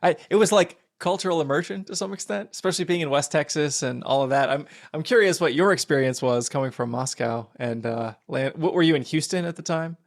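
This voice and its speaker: A man's voice: on the high side, a little fast, hardly any pauses. Friendly, curious man hosting an interview. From Philadelphia area. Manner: friendly, curious questioning with fillers. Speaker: podcast host. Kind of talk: casual interview conversation.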